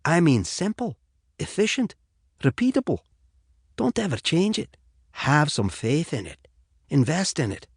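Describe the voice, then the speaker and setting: A man's voice: a little high, huge pitch swings, unhurried, vocal fry, long pauses, slightly rough, dry recording, studio quality, pitched above the performer's own voice, exaggerated voice. A man voicing an eccentric, blunt and breezy golfer in his late fifties, with an encouraging streak, for an audiobook. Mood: earnest, encouraging. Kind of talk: audiobook character dialogue.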